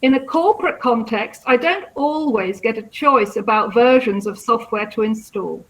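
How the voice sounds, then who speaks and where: A woman with a high voice moderates a live question session. She sounds improvised and a little hesitant, checking things as she goes. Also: moderate pace, a bit bright, poor audio.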